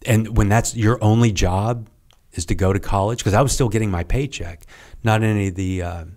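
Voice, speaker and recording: A middle-aged man, a guest on a podcast, telling a story in a halting, rambling way that trails off quietly. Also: lowish voice. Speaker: podcast guest, retired Navy serviceman. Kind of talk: casual interview storytelling.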